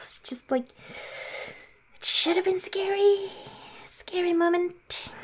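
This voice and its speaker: A woman playing a shy, awkward young werewolf girlfriend in improvised ASMR roleplay, halting and self-mocking. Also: sits high.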